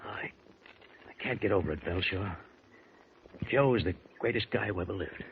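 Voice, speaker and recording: A stunned, loyal friend, speaks haltingly in a very deep voice. It is 1940s radio drama, muffled and slightly noisy. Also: male voice. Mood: stunned, grieving. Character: stunned, loyal man grieving his friend.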